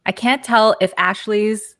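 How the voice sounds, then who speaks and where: A woman's voice: mid-range voice, fairly even intonation, vocal fry, fairly bright tone. A young woman from Canada hosting a casual livestream from home over a headset, upbeat and chatty. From Canada, Montreal. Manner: upbeat, chatty, quick bursts. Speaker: livestream host. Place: home room.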